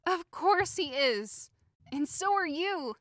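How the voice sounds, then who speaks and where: Actress as timid lovesick young woman, very high, bright, plaintive, melodramatic, Shakespeare audio play. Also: expressive, takes it slowly, no room sound, studio quality, exaggerated voice. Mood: offended. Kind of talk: modernized Shakespeare audio drama acting.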